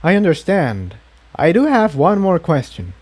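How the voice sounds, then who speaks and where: A man's voice: fairly high pitch, wildly swooping pitch, measured pace, a little mellow, pitched above the performer's own voice, heavily acted. A man voicing an earnest male unicorn traveler who asks questions politely in a storybook reading. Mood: polite, inquiring.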